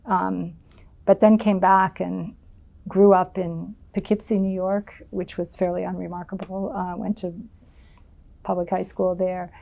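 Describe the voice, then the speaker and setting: A woman's voice: on the low side, flat delivery, a little slow, vocal fry, warm tone. A middle-aged American woman giving an oral history interview, speaking thoughtfully with many ums and restarts. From United States.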